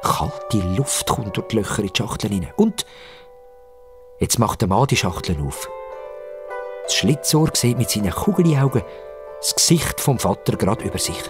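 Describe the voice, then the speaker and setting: A man with a Swiss German accent narrating a children's story dramatically, with suspenseful pauses and repetitions. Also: fairly low pitch, over music. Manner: expressive, suspenseful, with repetitions for effect.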